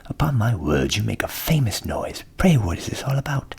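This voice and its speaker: A man voicing a friendly, persuasive old donkey from a storybook, genial and encouraging in a low, creaky half-whisper. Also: sing-song, moderate pace, darkish tone, exaggerated voice. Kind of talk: storybook character voice in ASMR reading.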